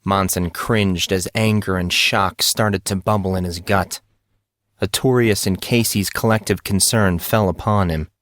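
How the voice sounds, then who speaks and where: A man's voice: low-pitched, steady tone, a little slow, a bit bright, no room sound, clean studio sound. A man reading a scripted story aloud as a dramatic audiobook narrator.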